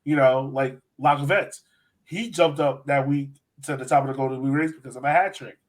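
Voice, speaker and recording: A man's voice: medium pitch, steady tone, brisk. A man hosting a sports podcast, chatting casually and emphatically about soccer in an informal way. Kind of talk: casual chat, soccer analysis.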